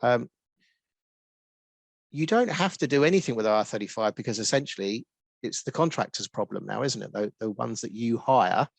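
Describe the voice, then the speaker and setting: Brisk man with a Norwich accent. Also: medium pitch, expressive, creaky, no room sound. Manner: explanatory, brisk, with many ums. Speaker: guest expert on tax rules. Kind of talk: answering questions in a webinar.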